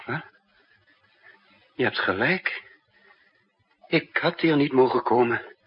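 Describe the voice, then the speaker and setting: Radio drama spy: very husky, slow, haunted and hesitant, on a dull vintage recording. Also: male voice, mid-range voice. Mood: worried, urgent. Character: troubled male spy living under another man's identity. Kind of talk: 1960s radio drama acting and first-person narration.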